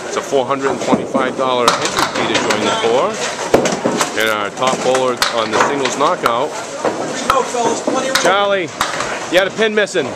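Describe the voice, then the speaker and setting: A man's voice: medium pitch, moderate pace, long pauses. A middle-aged man gives chatty live commentary on a bowling match, filmed on a handheld camcorder in a big indoor alley. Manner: chatty, excited on big shots. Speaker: tour commentator filming the match. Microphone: handheld camcorder mic. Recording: loud alley noise behind camcorder audio.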